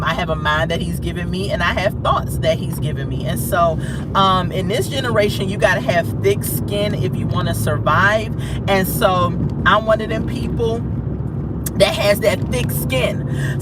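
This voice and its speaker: Casting: American woman, informal outspoken livestreamer, repeats herself, recorded in a very noisy car at some distance from the mic. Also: medium pitch. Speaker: livestream host. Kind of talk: casual livestream chat. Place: inside a moving car.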